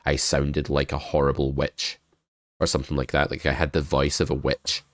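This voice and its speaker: A young man with a cold talks through poker hands in a loose, joking way, now and then swearing. His voice sits extremely low and sounds stuffed up. The recording is close and dry, made at a webcam desk setup. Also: monotone, normal speed, no echo. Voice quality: very deep voice. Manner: chatty, joking, swears casually. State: congested with a cold. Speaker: poker coach. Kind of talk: casual poker hand review.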